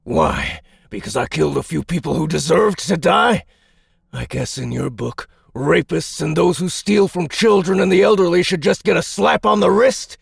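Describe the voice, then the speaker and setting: An actor playing a big, gruff, middle-aged survivor, bitter and defiant, with a gravelly, raspy voice in an audiobook drama. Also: male voice, a little high, lively intonation, normal speed, dry recording, clean studio sound, heavily acted. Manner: weighty, defiant, rough-spoken.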